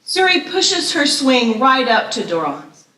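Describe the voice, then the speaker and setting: Female narrator for screenplay reading, crisp forceful tone, slightly distant in a roomy space. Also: high voice, sing-song, a little slow. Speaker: stage-direction reader. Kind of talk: scripted narration at live table read. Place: live festival stage reading in echoey room. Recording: echoey room, slightly distant mic.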